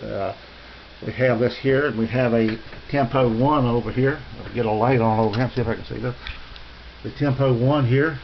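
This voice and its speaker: An American man giving a casual, unscripted tour of his radio room in a halting, rambling, folksy and polite way. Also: mid-range voice, gravelly. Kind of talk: casual unscripted show-and-tell narration. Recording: dull lo-fi home video, faint noise.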